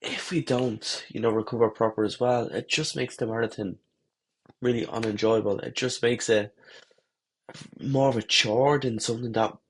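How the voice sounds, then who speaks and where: Husky, congested man coaching runners. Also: mid-range voice, moderate pace. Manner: relaxed, rambling, fillers and restarts. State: congested from a head cold. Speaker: running coach. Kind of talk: casual talk-to-camera lesson.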